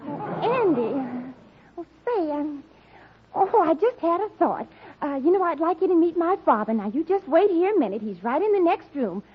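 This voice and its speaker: A woman's voice: very high-pitched, very animated, normal speed. An actress playing a sweet young woman who introduces her father in a 1940s radio comedy. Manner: gushing, flustered. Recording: dull old radio recording with hiss.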